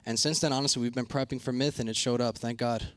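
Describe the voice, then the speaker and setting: Fast-talking man with a slightly raspy voice. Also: mid-range voice, steady tone, a bit bright, no echo. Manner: informal, slangy. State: slightly hoarse. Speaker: pro esports player interviewed as guest analyst. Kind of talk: casual post-match interview and analysis.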